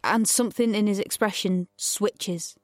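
A woman's voice: medium pitch, fairly even intonation, unhurried, a little hiss or hum, natural voice. An actress playing a wary, defiant young woman in a dystopian audio drama, in both dialogue and intimate narration. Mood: tense, shaken. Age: young adult.

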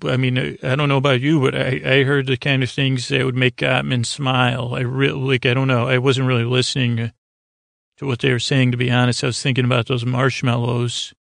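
Male sleep podcast host: creaky, dry recording, wandering monologue full of stammers and doubled-back phrases. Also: mid-range voice, flat delivery, fairly bright tone, no echo. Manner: meandering, halting, stammering repeats. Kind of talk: rambling bedtime monologue.

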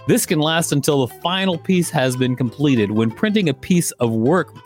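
A man co-hosting a podcast, reading an article aloud with dry, joking asides. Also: medium pitch. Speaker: podcast co-host. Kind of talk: reading an encyclopedia article aloud with casual banter.

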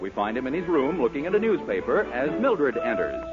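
A muffled vintage radio recording with loud music behind a male narrator. He reads scripted narration with a lively, mock-grand lilt. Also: medium pitch, brisk. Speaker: announcer, narrator.

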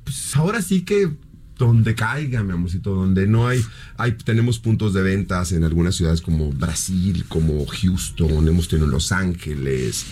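A man's voice: low voice, animated, normal speed, darkish tone. A man, a fashion designer chatting as an interview guest, affectionate and calling the host by endearments. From Mexico (Mexicali, border). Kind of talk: TV interview chat.

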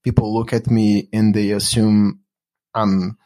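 Brazilian man chatting with halting restarts. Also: lowish voice, flat delivery, dark tone. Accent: Brazilian Portuguese. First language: Portuguese. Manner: reflective, halting, with many restarts and fillers. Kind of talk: casual conversation.

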